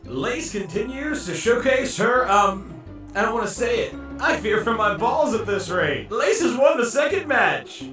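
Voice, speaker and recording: A man playing a theatrical, squeamish arena announcer calling fights in an animated visual novel. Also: very high voice, a little slow, exaggerated voice. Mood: nervous, then rousing. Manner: dramatic, showy. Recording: roomy, distant voice under loud music.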